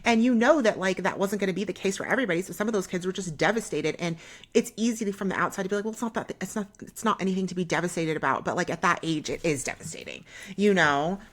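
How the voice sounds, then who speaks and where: A woman in her thirties chatting casually as a podcast co-host, telling stories colloquially and acting out reported exchanges in an animated way. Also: fairly low pitch, quick pace. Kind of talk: casual conversational storytelling with quoted dialogue.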